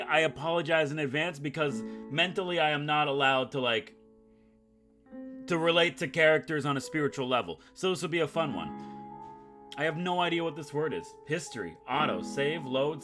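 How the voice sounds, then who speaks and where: Wry male gamer over guitar music. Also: mid-range voice. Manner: wry, sarcastic, self-mocking joker. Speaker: gaming YouTuber, host of a playthrough. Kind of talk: let's play commentary with read-aloud game text and joking asides. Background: acoustic guitar game music.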